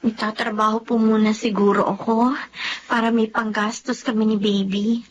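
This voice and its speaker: A woman's voice: fairly high pitch, level tone, moderate pace, muffled recording, slight background noise, lightly acted. A woman playing a shy, grateful young Filipina mother in a radio drama, earnest and respectful.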